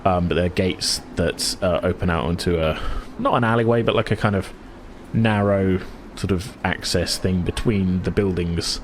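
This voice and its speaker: A man improvising narration as game master of a tabletop game, feeling for words in a halting way. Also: deep voice, a little hiss or hum.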